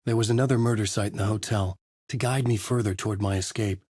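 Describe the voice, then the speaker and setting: An actor playing a brooding writer who narrates his dark thriller in a grave, deliberate, noir style, as in a video game. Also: lowish voice, fairly even intonation, breathy edge, no echo, studio quality. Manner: grave, deliberate, moody storytelling.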